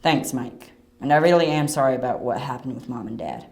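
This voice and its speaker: A man's voice: medium pitch, a little fast, darkish tone, lightly acted. A man acting an earnest, conflicted young detective in an amateur audio drama, recorded distant from the mic in a very echoey room. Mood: downcast.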